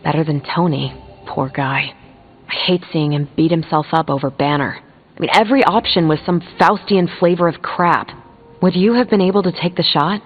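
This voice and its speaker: A woman's voice: low-pitched, normal speed. A candid, sardonic superheroine from a video game. She talks bluntly and colloquially. The sound is narrow and phone-like, with music behind her. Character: frank, sardonic soldierly superheroine, adult woman. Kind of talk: video game character dialogue.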